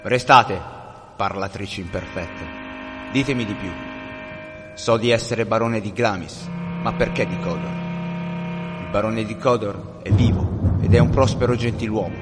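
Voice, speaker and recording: Male actor as medieval lord, low pitch, commanding, sceptical, Shakespearean delivery, strong music behind. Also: unhurried, pauses often, natural voice. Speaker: prison inmate actor. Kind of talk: theatrical radio drama recitation of Shakespeare. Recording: long reverb, humming music bed.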